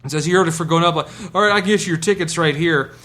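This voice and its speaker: A man co-hosting a podcast, telling a casual anecdote and acting out the dialogue in an animated way. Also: fairly high pitch, fast, slight background noise. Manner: animated, acts out quoted dialogue. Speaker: podcast co-host.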